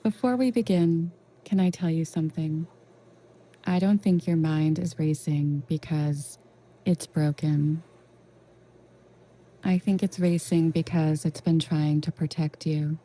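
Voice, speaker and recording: A woman leading a guided meditation in a gentle, reassuring, unhurried way with long pauses. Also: deep voice. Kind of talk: soothing guided meditation narration.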